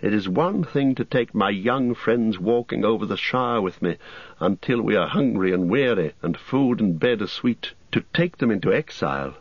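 A man's voice: lowish voice, no echo, dull audio. Frodo, a man doing a burdened hobbit. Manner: guarded, terse. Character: weary, burdened adult male hobbit Frodo.

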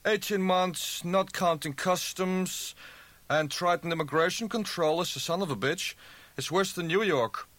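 Burly Danish crewman for an audiobook: Danish accent, blunt, offhand delivery, pitched well up, very dry sound. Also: measured pace, a bit bright, no echo. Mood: matter-of-fact. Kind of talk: audiobook character dialogue.

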